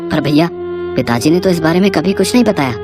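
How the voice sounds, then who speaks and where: A woman's voice: lowish voice, animated, fast, music in the background, voice pushed down, lightly acted. A woman voicing a simple, trusting younger brother, a village farmer in a moral story, hesitant and deferential. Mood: worried.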